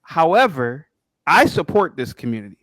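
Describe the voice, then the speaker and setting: A man's voice: a little high, sing-song, normal speed, a bit bright, no echo. A man in a casual live debate who interjects and repeats his phrases.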